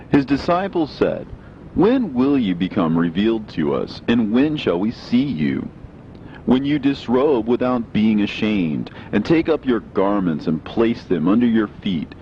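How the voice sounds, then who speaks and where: A man giving a solemn, measured, declamatory scripted reading of scripture as a narrator. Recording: dull lo-fi sound, faint rumbling noise.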